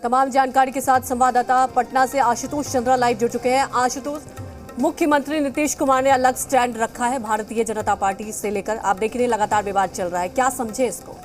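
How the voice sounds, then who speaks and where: A woman's voice: a little high, steady tone, brisk, faint music behind. A woman anchoring live news and questioning a correspondent.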